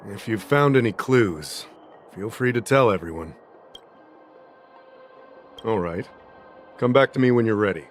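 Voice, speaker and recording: A man voicing a self-assured official in a video game, speaking formally and deliberately as he lays out accusations. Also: on the low side, lively intonation. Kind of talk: scripted video game dialogue acting. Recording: music behind the voice.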